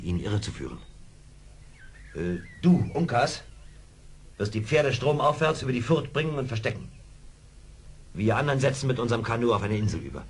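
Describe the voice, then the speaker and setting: An actor playing a seasoned, wry frontier scout in a German radio play, dry, mocking and commanding. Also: male voice, lowish voice, lightly acted. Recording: hissy old radio play record.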